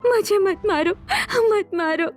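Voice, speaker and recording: Woman acting a timid, high-voiced young daughter-in-law. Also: fairly even intonation, moderate pace, dark tone, faint music behind, close to an everyday voice. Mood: terrified, crying. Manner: nervous, stammering. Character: frightened, anxious young daughter-in-law, timid and eager to please.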